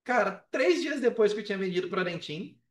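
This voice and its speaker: A man with a notably high voice and a Brazilian Portuguese accent speaks as a podcast guest. He tells stories casually in slangy, repetitive bursts and acts out what people said. The sound is roomy and a little far from the mic. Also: animated, fairly quick. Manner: slangy, swearing, emphatic repetition, acts out reported dialogue. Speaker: podcast guest, affiliate marketer. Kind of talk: casual, animated personal storytelling on a podcast. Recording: roomy echo, distant from the mic.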